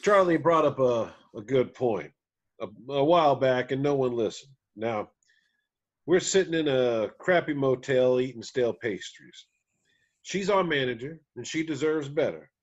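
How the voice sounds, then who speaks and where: A man playing a gentle, weary country musician father in a table read, with folksy, halting phrasing. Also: medium pitch, expressive, lo-fi sound. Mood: firm, rallying. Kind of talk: screenplay table read acting.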